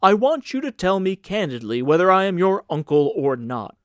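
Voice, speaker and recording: A man's voice: sits high, sing-song, normal speed, dry recording, clean studio sound, voice pushed up. A man voicing a pompous older senator in an audiobook, speaking in an emphatic, declamatory, oratorical way. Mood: commanding. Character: pompous, theatrical senator uncle, older man, grandly indignant. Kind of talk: dramatic audiobook character reading.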